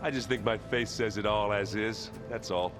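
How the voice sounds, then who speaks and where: Man acting blunt warrior guy from a video game, deep deadpan voice, music playing behind. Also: normal speed, close to an everyday voice. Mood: puzzled.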